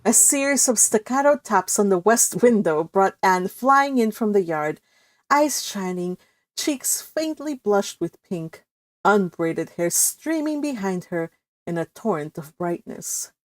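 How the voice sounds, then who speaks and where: A woman's voice: mid-range voice, lively intonation, measured pace, dry recording. A woman hosting and narrating a storybook read-along. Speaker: host and narrator.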